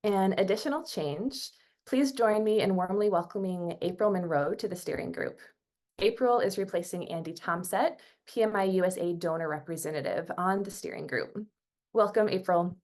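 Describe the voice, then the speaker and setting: A woman's voice: lowish voice, normal speed, darkish tone. A woman giving scripted organizational updates on an online conference call in a formal, organized manner. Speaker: working group coordinator giving updates.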